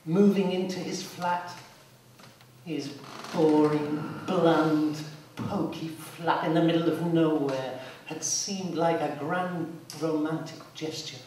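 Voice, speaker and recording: Male narrator for live dramatic storytelling, lightish pitch, distant and very echoey theatre recording. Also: fairly even intonation, takes it slowly. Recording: very echoey hall, distant, loud background noise.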